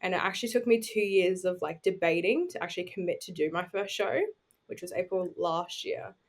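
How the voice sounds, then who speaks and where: A woman's voice: on the low side, fairly quick. A woman, chatting informally as a podcast guest and telling her own story. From New Zealand, raised on Australia's Gold Coast. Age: 20s. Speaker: podcast guest, bodybuilding competitor. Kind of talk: casual chat, personal storytelling.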